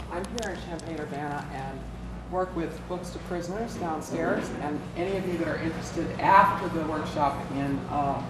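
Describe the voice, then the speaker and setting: A woman with a low voice gives an informal presentation in measured, paused phrases. The room echoes and there is clear background noise. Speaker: program volunteer presenting. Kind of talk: informal case-study presentation. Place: workshop room.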